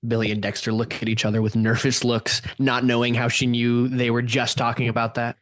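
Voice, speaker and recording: A man's voice: mid-range voice, monotone, a little fast, fairly bright tone, no room sound. A man reading scripted stage action as narrator of a radio play.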